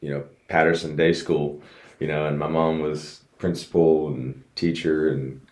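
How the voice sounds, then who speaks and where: A man's voice: rumbling low, level tone, measured pace, a little mellow. A man raised in the United States, a race car driver, telling his story earnestly as a guest in a casual podcast interview. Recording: roomy echo.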